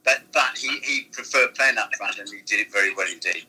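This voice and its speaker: An older man being interviewed, with a very bright tone and a husky edge to his voice. He reminisces in long, rambling anecdotes. He talks like a former football manager looking back. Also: on the low side, brisk, low-quality recording.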